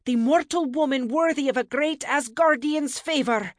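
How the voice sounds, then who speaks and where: An imperious ancient goddess as a woman narrator plays her in a fantasy audiobook. She is haughty, formal and commanding, fairly high in pitch and sweeping widely up and down. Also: animated, normal speed, no echo, clean studio sound, lightly acted. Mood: ferocious. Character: imperious, fierce ancient Norse goddess, proud and impatient.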